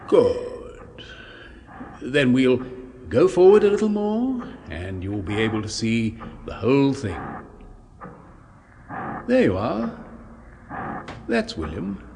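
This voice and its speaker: An apprehensive male doctor in a seventies radio play. He is clinical yet soothing and hesitant, with swooping intonation, long reverb and clear background noise. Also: medium pitch, wildly swooping pitch, slow, pauses often, full character voice. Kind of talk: 1970s radio drama acting.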